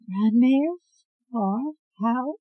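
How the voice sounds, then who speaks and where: A reader voicing a frail, injured old grandmother who speaks weakly and pleads through tears, pitched well above the reader's own voice. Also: expressive, very slow, dry recording, phone-quality sound. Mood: pleading weakly. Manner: weak, pleading, breaking.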